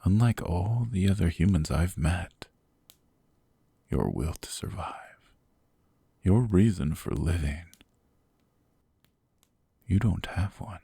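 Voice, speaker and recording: A cold, possessive demon king, voiced by a man, looms over his captive in a slow, condescending drawl, dropping into gravelly whispers right at the ear. His voice sits extremely low and very husky, with a rough fry on the ends of phrases. The close ASMR recording is dry, with no room around it. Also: lively intonation, warm tone, no echo, exaggerated voice. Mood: mocking. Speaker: voice actor. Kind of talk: ASMR roleplay audio.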